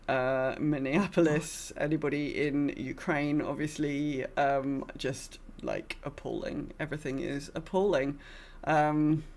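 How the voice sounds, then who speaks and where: A woman's voice: deep voice, fairly bright tone. Rambling woman podcast host. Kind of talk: casual gaming podcast chat.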